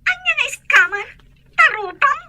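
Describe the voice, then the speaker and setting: A very high, shrill, scolding character in an audio drama. She speaks with sharp irritation over a slightly noisy recording. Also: big pitch swings, unhurried, exaggerated voice. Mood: irritated scolding. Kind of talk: Ilocano audio drama acting.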